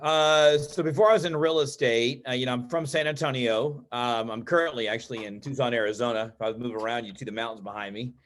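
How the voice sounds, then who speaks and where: An American man from Texas tells personal stories as a guest in an online meeting, in an informal, animated way that often acts out dialogue. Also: medium pitch, fast, hardly any pauses. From Texas, United States. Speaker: interview guest, real estate agent and coach.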